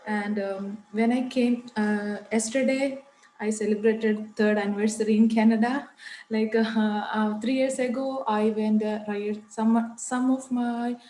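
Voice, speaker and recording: A woman's voice: a little high, dark tone, roomy sound, a little hiss or hum, rough recording. A woman from India, asking a hesitant question as a caller. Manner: hesitant, many fillers.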